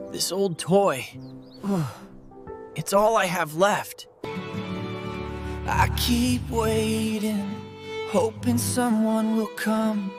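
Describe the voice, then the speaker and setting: A woman narrating as a lonely, heartbroken poor child in a cartoon story, mournful and drawn-out, with pauses between phrases. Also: medium pitch. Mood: mournful, longing. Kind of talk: scripted first-person story narration. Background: prominent music.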